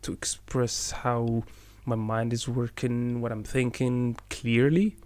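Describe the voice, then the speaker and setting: A man whose first language is Arabic, chatting casually in English in a relaxed way, laughing easily and pausing to think. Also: medium pitch. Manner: relaxed, laughs often, measured with pauses. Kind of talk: casual conversation.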